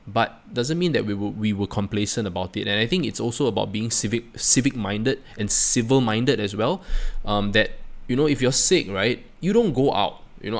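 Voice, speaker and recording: A middle-aged Singaporean-accented man speaks in a lowish voice with plenty of fry. He reflects casually as a channel host, stumbling over repeated words and tagging his phrases with small check-in questions. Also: a bit bright. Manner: halting, repeats words, often tags phrases with "right?" and "you know". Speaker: host of a personal finance channel.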